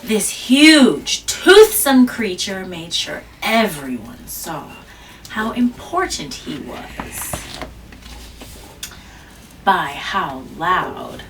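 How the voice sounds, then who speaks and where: Mom reading a kids' book aloud at home, slow and gentle, stopping for questions; mic sits far off with faint background noise. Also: female voice, very animated. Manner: gentle, questioning the child, jumps to high squeaky pitch on small words. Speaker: mother reading aloud to her child. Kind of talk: children's picture-book read-aloud.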